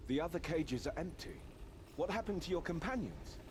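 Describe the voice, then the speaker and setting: A man's voice: on the high side, big pitch swings, moderate pace, noisy background. A man playing a devout, earnest and proud knight in a fantasy video game, speaking in a formal, solemn, declamatory way. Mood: concerned. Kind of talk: scripted fantasy game acting.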